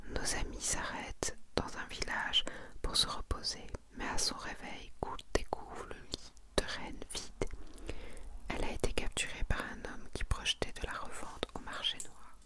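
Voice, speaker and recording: A woman speaking in a soft, breathy ASMR whisper, hushed and intimate, presenting and reading aloud in a casual way. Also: unhurried. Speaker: ASMR creator presenting a manga collection.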